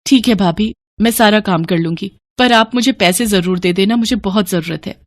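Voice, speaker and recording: A woman's voice: mid-range voice, normal speed, dry recording, natural voice. A woman voicing a poor, kind village wife in a moral storybook tale, with an earnest, humble manner. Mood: meek, pleading. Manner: meek, earnest.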